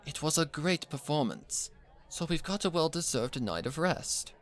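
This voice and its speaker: A man voicing a composed, kindly female pony musician in a story, calm and reassuring like an older sister. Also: a little high, moderate pace, pitched above the performer's own voice.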